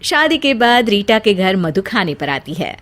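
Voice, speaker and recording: A woman's voice: medium pitch, big pitch swings, unhurried, fairly bright tone. A woman narrating a scripted family story as the storyteller.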